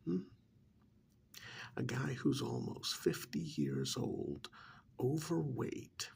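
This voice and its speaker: Male ASMR role-play performer. Very creaky, soft half-whisper; deliberate, pointed monologue. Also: mid-range voice. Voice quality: breathy, gravelly half-whisper.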